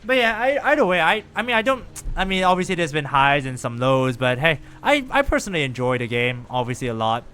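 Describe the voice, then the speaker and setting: A man chatting casually with viewers and reacting out loud while he plays a game on a live stream. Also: a little high, a little fast, few pauses, a little hiss or hum. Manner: chatty, animated, lively reactions while playing.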